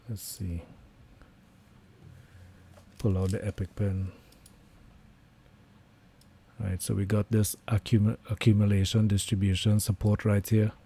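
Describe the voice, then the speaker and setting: Hoarse man, low voice, flat tone, casual trading commentary, many fillers. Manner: relaxed, thinking aloud with many fillers. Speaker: trading livestreamer.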